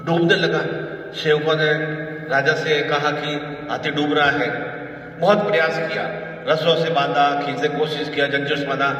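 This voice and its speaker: A man giving a spiritual discourse as a guru, telling a parable and preaching emphatically, his voice rising forcefully on key points. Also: fairly high pitch, lo-fi sound. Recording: distant mic in a reverberant hall.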